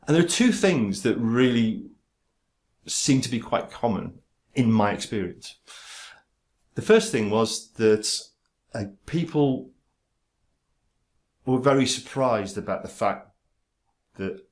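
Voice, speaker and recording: A man talking directly to camera about his stammer, halting, with frequent pauses, ums and restarts as he controls his speech. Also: medium pitch, expressive. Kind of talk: casual direct-to-camera talk.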